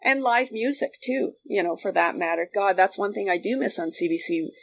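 A woman's voice: mid-range voice, fairly quick, gravelly. A middle-aged woman in casual, rambling conversation as a podcast guest, often repeating her phrases. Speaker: podcast guest, former radio worker. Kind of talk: casual chat.

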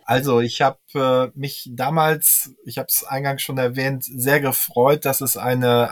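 A man reviewing in a podcast discussion as an expert guest, explaining at length with some hesitation. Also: mid-range voice.

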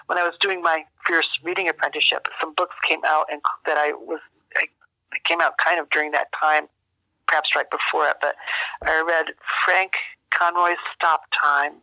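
An older American woman speaks as an interview guest, reflective and halting, searching for her words. Her voice is very bright and edged with gravelly creak. It comes down a landline with a dull, limited sound. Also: low voice, flat delivery, no echo. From rural United States. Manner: halting, thoughtful, with long searching pauses. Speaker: interview guest, author and memoir teacher. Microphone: landline telephone.

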